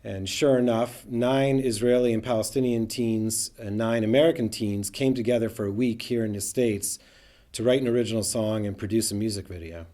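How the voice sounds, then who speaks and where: A man, a program organizer, narrating a documentary in an earnest, steady storytelling manner. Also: on the low side, moderate pace.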